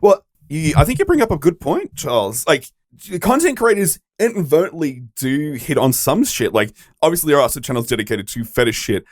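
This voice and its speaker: A man with a deep voice co-hosting a podcast, chatting casually, rambling and swearing freely. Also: very animated, a little fast, dry recording, clean studio sound. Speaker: podcast co-host. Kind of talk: casual chat.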